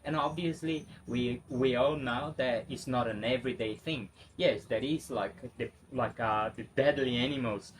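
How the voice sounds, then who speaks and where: A man's voice: mid-range voice, normal speed, few pauses, a little hiss or hum. A man from Brazil with a Brazilian accent, speaking English as an interview guest, chatty and spontaneous with many fillers and restarts. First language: Portuguese. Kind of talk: casual interview.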